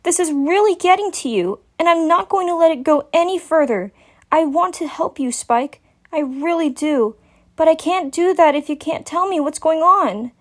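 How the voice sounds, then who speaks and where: A woman's voice: very high-pitched, expressive, normal speed, pitched above the performer's own voice. A woman playing a caring young female unicorn in a story reading, earnest and soothing yet firmly insistent. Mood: stern, concerned.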